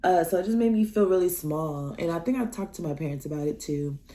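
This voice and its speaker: A young woman from Nebraska chats through her stories in a casual vlog. Her voice sits a little low, with a warm, mellow tone. She acts out the conversations with sudden leaps in pitch. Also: fast. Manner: animated, acts out dialogue with big pitch jumps. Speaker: vlogger.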